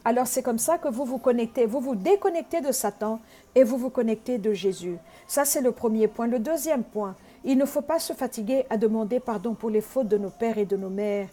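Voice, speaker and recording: Earnest woman preacher, mellow voice. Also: on the high side, a little fast. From Congo. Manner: earnest teaching tone, frequent hesitations. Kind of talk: sermon.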